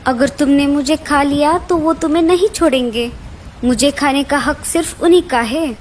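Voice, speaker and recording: A woman plays a small mother bird from a kids' cartoon, with a very high voice. She is plaintive yet cunning and speaks with dramatic, pleading feeling. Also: normal speed, a little hiss or hum, close to an everyday voice. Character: clever, brave mother sparrow, plaintive but cunning. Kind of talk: children's cartoon story dialogue.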